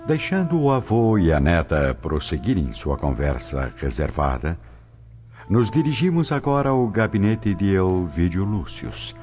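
Very deep-voiced male radio drama narrator. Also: sing-song, measured pace. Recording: dull lo-fi, music behind.

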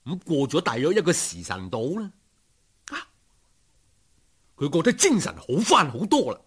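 A man's voice: a little high, wildly swooping pitch, normal speed, slightly rough. A man narrating a folk tale as an oral storyteller, in a colloquial, dramatic style.

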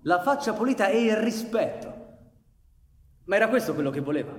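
An actor performing emphatic, brooding narration of a bitter anarchist's story for radio theatre. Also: male voice, high-pitched. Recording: reverberant hall, live music behind. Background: live music.

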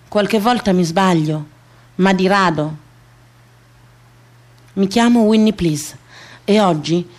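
An actress plays a defiant, self-accepting woman in a radio monologue. She declaims slowly and emphatically in a mid-range voice, repeating phrases for weight. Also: medium pitch, natural voice. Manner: slow, emphatic, repetitive declamation.

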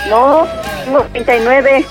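A woman's voice: sits high, a little slow, over music, low-quality recording. A middle-aged woman, in an unscripted phone conversation. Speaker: prank call target.

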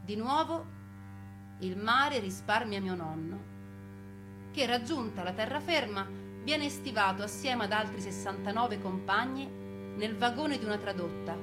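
A woman giving a theatrical scripted narration as a stage storyteller, with dramatic, deliberate phrasing. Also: medium pitch.

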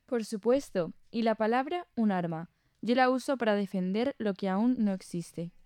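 Audio drama casting: actress for pensive, committed woman writer. Clean, warm, composed voice with quiet resolve. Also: on the high side, normal speed, no room sound, clean studio sound, lightly acted. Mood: resolute. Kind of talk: scripted audio drama dialogue.

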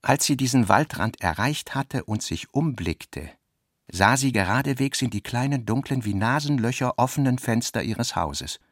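A man's voice: mid-range voice, lively intonation, normal speed, dry recording, studio quality. A man narrating literary prose as the narrator of a radio drama.

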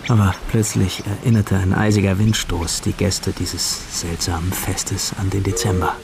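An actor playing a lovestruck young male student who tells his story in hushed, hesitant literary narration for a radio play. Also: deep voice, flat delivery, moderate pace, gravelly, noisy background. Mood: wistful, dreamy. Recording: radio drama production with music behind.

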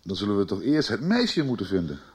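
An actor in a Dutch radio soap playing a cautious police officer, measured, formal and sceptical. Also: male voice, on the low side, sing-song, breathy edge. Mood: measured, placating.

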